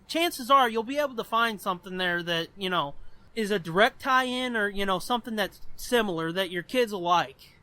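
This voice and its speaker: A man's voice: high-pitched, normal speed, no echo. A man hosting a podcast in casual chat, explaining things in a blunt, sweary way. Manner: profane, blunt, animated explaining. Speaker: podcast host.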